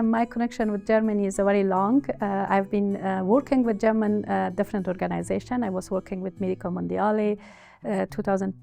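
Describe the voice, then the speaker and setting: A woman in her forties from Afghanistan with an Afghan accent gives a personal interview account in hesitant, halting English. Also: medium pitch, gravelly, dark tone. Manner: hesitant, halting phrasing in non-native English. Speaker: interviewee, university researcher and women's refugee supporter.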